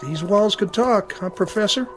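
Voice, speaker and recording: Man playing a high-pitched, folksy school caretaker. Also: moderate pace, over music, close to an everyday voice. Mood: friendly, curious. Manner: casual, folksy, easygoing. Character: friendly, plain-spoken male school caretaker. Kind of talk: radio drama acting.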